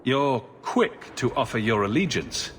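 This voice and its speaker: An actor playing a steady, protective young mage leading his family in a fantasy video game, calm and commanding. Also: mid-range voice, measured pace, a little hiss or hum. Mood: wary. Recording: clear battle ambience behind voice.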